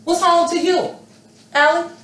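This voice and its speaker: A woman's voice: extremely high pitch, fairly even intonation, a little slow, a bit bright. An American woman from Chicago hosting and moderating a panel discussion. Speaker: host. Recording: echoey room with faint noise.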